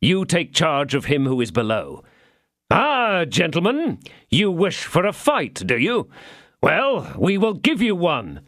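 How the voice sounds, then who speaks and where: A man's voice: on the high side, wildly swooping pitch, takes it slowly, fairly bright tone, dry recording, studio quality, voice pushed up, heavily acted. A man voicing a cool, commanding young swordsman who speaks with assurance. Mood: bold, commanding.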